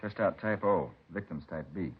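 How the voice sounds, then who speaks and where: A man's voice: sits low, moderate pace, breathy edge. An actor playing a methodical police crime lab man in a vintage radio drama, laconic and matter-of-fact. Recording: muffled vintage radio recording.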